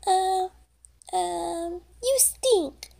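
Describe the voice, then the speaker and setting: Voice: very high voice, very slow, gravelly, warm tone, a little hiss or hum, voice pushed up, heavily acted. A young performer voicing a mischievous little pony girl in toy play, with a hesitant, stammering, childlike delivery. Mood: cheeky.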